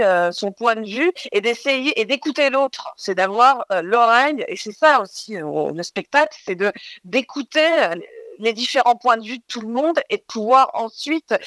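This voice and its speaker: A woman's voice: on the high side, fairly bright tone, no echo. Hesitant francophone woman director, dry sound. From living in Toronto, Ontario. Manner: hesitant, many fillers and repeated words. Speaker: film director. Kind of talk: radio interview answers.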